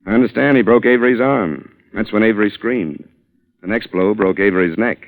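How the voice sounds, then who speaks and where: A man acts a shrewd, defiant newspaperman in a vintage radio thriller. His voice sits fairly low, and he talks in a pointed, taunting, hard-boiled way. It has the sound of an old radio broadcast. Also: brisk, natural voice, low-quality recording. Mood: grim recounting. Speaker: lead actor and narrator. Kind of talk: old-time radio suspense acting.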